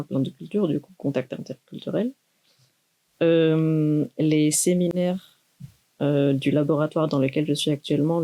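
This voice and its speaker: A young woman, a doctoral student speaking in a thoughtful, measured way with many pauses in a university podcast interview. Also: low-pitched, mellow. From Greece. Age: 20s. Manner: measured, thoughtful, with frequent hesitations.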